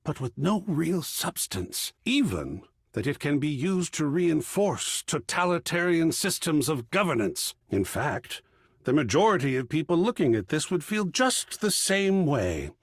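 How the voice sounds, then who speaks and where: Wildly swooping, effusive art curator. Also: male voice, fairly high pitch, big pitch swings, measured pace, husky, dry recording, studio quality, exaggerated voice. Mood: musing, lecturing. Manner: effusive, erudite, precisely enunciated, dramatic. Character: excitable, cultured art object come to life, gallery curator. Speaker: video game character. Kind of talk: theatrical game dialogue.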